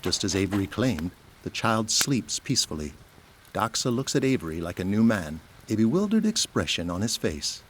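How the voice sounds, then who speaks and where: A man's voice: lowish voice, sing-song, moderate pace, a bit bright, slightly rough. A man giving scripted narration as the narrator of an audio drama.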